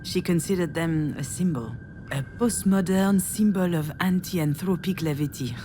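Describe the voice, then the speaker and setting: A woman's voice: lowish voice, moderate pace, warm tone, slight background noise, lightly acted. An actress playing a reflective woman in her thirties recalling her childhood in a game, musing and hesitant in a confiding way. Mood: reminiscing. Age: 30s. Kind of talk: introspective scripted game narration. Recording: music behind the voice.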